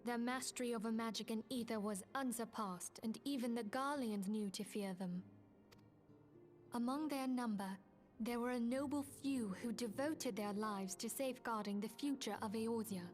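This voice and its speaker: Woman acting gracious fantasy-game lady leader, formal, earnest, fairly high voice, orchestral-game style with music behind. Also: normal speed, darkish tone, lightly acted. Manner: formal, expository storytelling.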